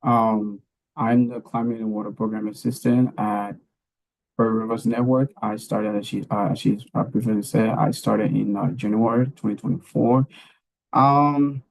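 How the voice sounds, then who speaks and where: A man from Liberia, speaking as a panelist about his career path in a halting way, with many fillers and restarts. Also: on the low side, fairly even intonation, creaky, a little mellow, rough recording.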